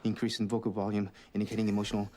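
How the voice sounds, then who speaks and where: An actor playing a nervous, smart-aleck lab worker in a TV drama, talking in slangy, anxious lines. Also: male voice, on the low side, little pitch movement, rapid-fire, dark tone, natural voice. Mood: anxious, joking.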